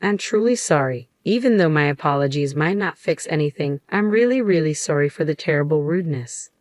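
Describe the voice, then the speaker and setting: A woman's voice: sits low, lively intonation, a little fast, darkish tone, dry recording, clean studio sound. A woman voicing a timid young male junior salesman, hesitant, polite and apologetic, in a narrated story. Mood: pleading.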